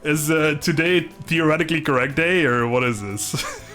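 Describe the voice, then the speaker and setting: A man's voice: a little high, moderate pace, pushed and bright, soft background music. Laid-back Dutch man streaming. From Netherlands. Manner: chatty, laughs often, stumbling restarts, swears casually. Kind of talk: casual live-stream chat reading chat.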